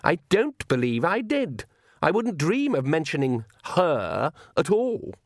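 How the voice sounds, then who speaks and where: Audiobook vampire daughter, played by a man: high, swooping voice, whiny, sarcastic, rebellious. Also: animated, dry recording, clean studio sound. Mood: cold, sarcastic. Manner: whining, sarcastic, drawn-out complaint. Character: petulant, sneering young female vampire, rebellious daughter.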